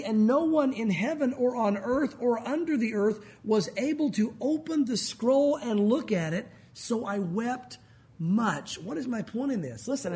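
High-voiced preacher with a loud voice. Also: male voice. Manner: conversational, emphatic preaching. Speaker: pastor. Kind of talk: sermon.

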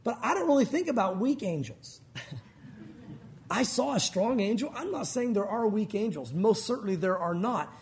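A male pastor with a loud voice, preaching in a conversational, emphatic way. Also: high-pitched, big pitch swings, moderate pace. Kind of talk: sermon.

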